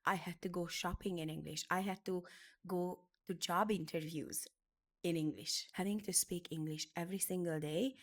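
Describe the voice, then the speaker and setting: Middle-aged woman from Hungary, non-native English, motivational talk, emphatic, repeats phrases, lowish voice. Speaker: beauty business educator.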